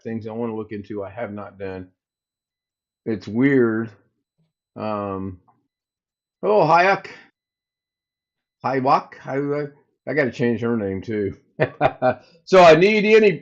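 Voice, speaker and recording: Booming man hosting a casual livestream. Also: highs cut off. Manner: rambling, thinks aloud while fixing tech. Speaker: livestream host. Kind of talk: casual live chat with viewers.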